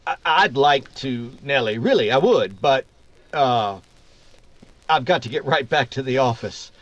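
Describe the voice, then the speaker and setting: A man's voice: medium pitch, lively intonation, a bit bright, dull audio. A man playing an earnest, courtly male defense lawyer in a theatrical 1940s radio drama style. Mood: awkward, evasive. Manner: oratorical, dramatic pauses. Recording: long hall reverb, music behind.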